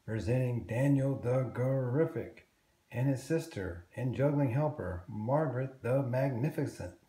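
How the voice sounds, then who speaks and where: A man voicing an earnest, excitable young boy tiger in a children's storybook read-aloud. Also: mid-range voice, measured pace, echoey room, lightly acted, poor audio. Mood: showy announcing.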